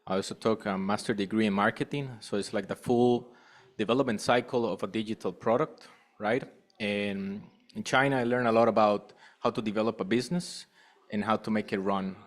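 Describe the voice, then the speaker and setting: A man's voice: on the low side, fairly even intonation, brisk. A man from Costa Rica, speaking casually as a panelist. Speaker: panel speaker, software engineer. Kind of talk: casual self-introduction at a panel.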